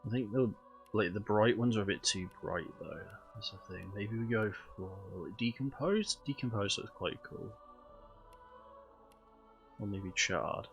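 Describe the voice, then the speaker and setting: A man's voice: lowish voice, measured pace, soft background music. A man with a cold and a stuffy, nasal voice, chatting casually through a video game while streaming, thinking aloud with lots of ums.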